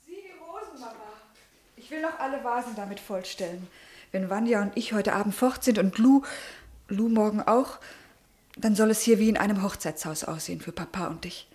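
A woman's voice: medium pitch, normal speed, a little mellow, close to an everyday voice. An actress playing an affectionate, playful young daughter in a radio drama, chatting and teasing in a girlish way. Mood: tender, wistful. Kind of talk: German radio drama acting.

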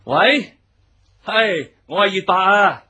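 A bright, forceful Cantonese man's voice with some rasp, playing a calculating schemer in a radio drama. He is brisk and decisive, pitched slightly high. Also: animated, heavily acted. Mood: brisk, keen.

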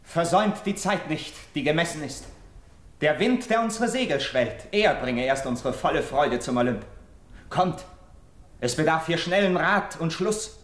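A man's voice: a little high, expressive, unhurried, fairly bright tone. An actor playing a steadfast, loyal warrior friend in a classical radio verse drama, earnest and urgent in his declamation. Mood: rousing.

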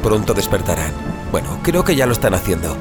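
A man plays a haunted young rebel turned leader in an audio drama, with a Spain accent. His low voice moves expressively and confides in rough, colloquial phrases. Prominent music plays behind him. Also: big pitch swings, a little fast. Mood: grave, resolute. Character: Iker, earnest reformed troublemaker, haunted survivor and leader. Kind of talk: Spanish audio fiction drama acting.

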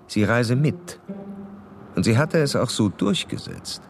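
An actor playing a composed male doctor in a radio drama, speaking in a formal, methodical, clinical way. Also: fairly low pitch. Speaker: doctor and narrator.

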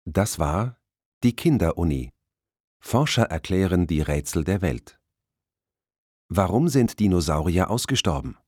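A man's voice: deep voice, lively intonation, unhurried, long pauses, darkish tone, breathy edge, no echo, studio quality. A man reading a scripted announcement of audiobook credits.

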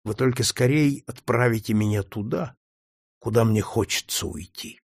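A man voicing a calm, faithful male slave who speaks quietly and solemnly despite a beating. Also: mid-range voice, moderate pace, vocal fry, slightly rough, no echo, clean studio sound. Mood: calm resolve.

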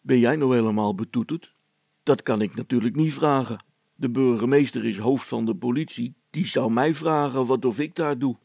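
Man as a gravelly, bossy village policeman. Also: medium pitch, moderate pace, darkish tone, dry recording. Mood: scheming. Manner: colloquial, dismissive, self-important.

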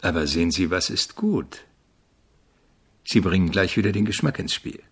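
An actor playing a polite, exacting avant-garde composer in a radio drama, speaking in a measured, questioning, instructive manner with a husky voice. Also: male voice, deep voice, lively intonation, music in the background. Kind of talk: German radio drama acting.

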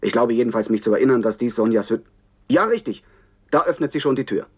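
An actor playing an indignant, scornful television reporter giving dramatic running commentary in a German radio play. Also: male voice, mid-range voice, expressive, fast talker, darkish tone, breathy edge. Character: indignant, scornful male TV reporter at an internment camp.

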